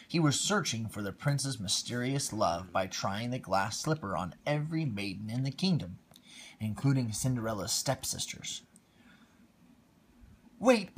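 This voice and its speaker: A man reading a bedtime story aloud to his child on a phone livestream, expressive with quiet asides. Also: medium pitch, breathy edge.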